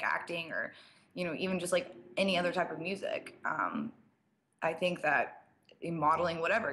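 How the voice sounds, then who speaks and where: A young woman with a slight rasp, answering fan questions in a loose, chatty way. She fills her talk with "like" and small laughs, and sits a touch lower in pitch than most women. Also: a bit bright, a little hiss or hum. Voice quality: slightly raspy. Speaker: DJ and producer. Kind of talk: casual live-stream Q&A, reading viewer questions.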